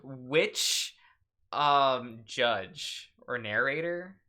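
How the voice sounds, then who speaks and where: Bright-voiced young man hosting a game playthrough. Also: medium pitch, expressive, extremely slow. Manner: laughing, chatty, stumbles while reading. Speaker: let's play host. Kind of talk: casual let's play chat and reading aloud.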